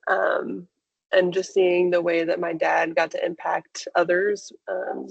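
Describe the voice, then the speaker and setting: A woman's voice: fairly low pitch, flat delivery, slow, creaky, breathy edge, no echo. A woman in her twenties from Kansas City, Missouri, answering conversationally as a student guest on a webinar. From Kansas City, Missouri, United States. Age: 20s. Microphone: online video call. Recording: dull, lo-fi online call.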